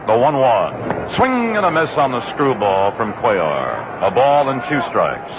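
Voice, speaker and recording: A man's voice: mid-range voice, big pitch swings, measured pace. A man doing live radio baseball play-by-play in clipped, descriptive phrases. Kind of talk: live radio sports commentary. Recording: muffled, very noisy 1960s radio broadcast.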